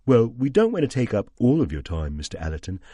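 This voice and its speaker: A man's voice: medium pitch, wildly swooping pitch, quick pace, warm tone, breathy edge, dry recording, studio quality, voice pushed up. A man voicing a cunning investigator who speaks in an ingratiating, wheedling way. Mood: flattering. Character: smooth, cunning investigator posing as reporter.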